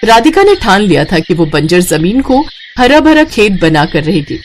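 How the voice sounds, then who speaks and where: A woman's voice: mid-range voice, big pitch swings, moderate pace, a little hiss or hum. A woman narrating a scripted moral story as a storyteller.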